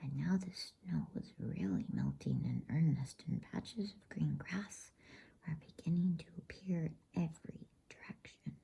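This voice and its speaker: A woman reading a novel aloud as a bedtime story in a hushed, unvoiced ASMR whisper. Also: deep voice, sing-song, measured pace, creaky, slightly rough.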